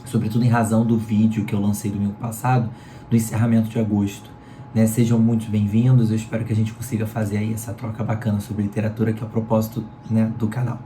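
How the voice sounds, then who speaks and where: Carioca male reviewer, hoarse with a cold, low and mellow, chatty tags. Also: little pitch movement, fast talker, slight background noise. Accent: Carioca Brazilian Portuguese. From Rio de Janeiro, Brazil. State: hoarse, sore throat from a cold. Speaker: book reviewer. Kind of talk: casual book review talk. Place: room at home in Rio. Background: electric fan running.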